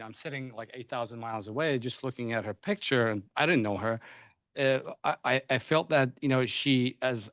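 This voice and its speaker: A man's voice: medium pitch, no room sound, clean studio sound. A man whose first language is Persian, moderating a panel discussion, often hesitating and restarting his sentences. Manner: hesitant, many restarts and fillers.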